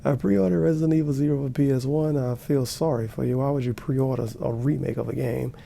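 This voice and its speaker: A man's voice: medium pitch, steady tone, a little fast, creaky, dark tone, a little hiss or hum. A man giving sarcastic, profane reaction commentary while watching a video. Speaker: commentary streamer.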